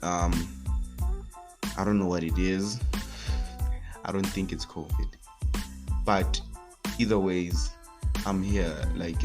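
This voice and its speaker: Deep-voiced young man, a bit sick. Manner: rambling, relaxed, with many fillers and restarts. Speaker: podcast host. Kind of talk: casual solo faith podcast talk.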